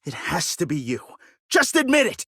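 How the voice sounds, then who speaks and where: A haughty young gentleman in a video game, pompous and quick to accuse. His voice swings widely in pitch as he blusters, and the recording is dry and close. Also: huge pitch swings, husky, no room sound, exaggerated voice. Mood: accusing, haughty. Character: haughty, blustering young man of a shabby-genteel family.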